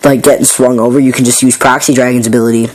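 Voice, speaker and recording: A man who is sick with a raspy voice, casually walking through his card game deck in a rambling, filler-heavy, apologetic way. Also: medium pitch, flat delivery, fast talker, darkish tone, low-quality recording.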